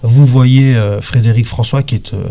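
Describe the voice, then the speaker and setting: A man raised in Belgium, talking as a guest on a web talk show. His voice is mellow and dark, at a middle pitch. He speaks casually, full of fillers and restarts. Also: steady tone, moderate pace. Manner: hesitant, many fillers and restarts, laughs often.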